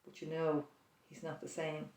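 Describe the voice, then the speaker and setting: A prayer leader guiding listeners through an imaginative prayer, speaking slowly and softly, with reflective pauses. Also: medium pitch, completely flat delivery. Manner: slow, soft-spoken, reflective, with pauses. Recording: roomy echo, distant mic.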